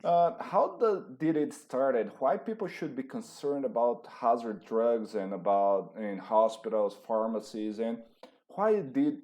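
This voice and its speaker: A man from Brazil with a Brazilian Portuguese accent, hosting a live online discussion in halting English over a video call. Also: medium pitch, lively intonation, darkish tone, dull audio. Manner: halting, searching for words in English. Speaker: host.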